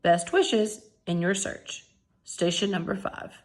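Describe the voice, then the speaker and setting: A woman's voice: medium pitch, fairly even intonation, slow pace, pitched below the performer's own voice. A woman voicing a courteous fire station official in a storybook, formal and businesslike. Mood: formal, polite.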